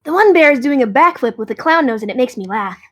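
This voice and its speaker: A woman's voice: high-pitched, animated, quick pace. A woman playing a cheerful, unbothered, nerdy dragon in an audio drama, chatty and matter-of-fact. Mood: playful.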